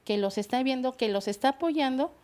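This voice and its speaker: A middle-aged woman speaks in a fairly high voice as a talk show guest. She talks in a hesitant, halting way, restarting her sentences. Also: darkish tone. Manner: hesitant, many fillers and restarts. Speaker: guest, bilingual secretary. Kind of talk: personal testimony on a talk show.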